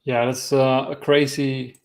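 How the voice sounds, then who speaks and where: Gravelly-voiced man hosting a chat. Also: mid-range voice, flat delivery, slow pace. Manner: hesitant, stammering restarts. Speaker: host. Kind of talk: casual podcast chat.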